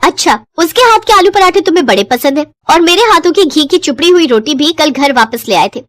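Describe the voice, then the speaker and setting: Cartoon daughter-in-law acted by a woman: young, very high voice, sharp-tongued, sarcastic, close dry sound. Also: animated, a little fast, no echo. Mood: jealous, accusing. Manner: sarcastic, snappy retorts.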